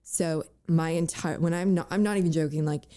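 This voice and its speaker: Guest, young woman, raspy with voice cracks, lowish pitch, mellow tone, halting delivery. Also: steady tone. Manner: halting, many fillers and "like"s. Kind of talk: candid personal interview.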